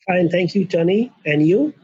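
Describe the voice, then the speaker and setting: Earnest middle-aged man from Bangladesh, husky, lots of "you know", muffled line. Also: sits high, animated, normal speed, dark tone, dry recording. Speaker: guest, company co-founder. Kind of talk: casual interview. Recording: muffled, dry lo-fi sound.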